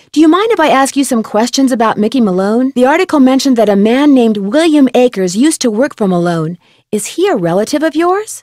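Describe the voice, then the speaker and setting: A woman playing a curious, polite young amateur detective in an adventure game, speaking in an earnest, clearly enunciated, inquisitive way. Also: a little high, a little mellow, dry recording, studio quality, close to an everyday voice. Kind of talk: scripted adventure game dialogue.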